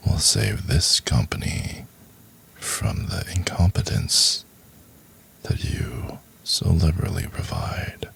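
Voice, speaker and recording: Man acting very deep, gravelly, contemptuous boss. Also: a bit bright, exaggerated voice. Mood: cold contempt. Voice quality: husky, gravelly. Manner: condescending, deliberate, breathy half-whispered delivery. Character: cold, domineering, contemptuous male chief executive. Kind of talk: intimate ASMR roleplay, acted monologue.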